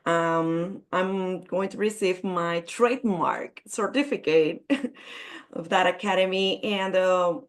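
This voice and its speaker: A woman's voice: on the low side, slow. A woman from Puerto Rico with a Spanish accent and Spanish as her first language, introducing her coaching work on a video call in an earnest, emphatic way. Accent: Puerto Rican Spanish. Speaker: business coach.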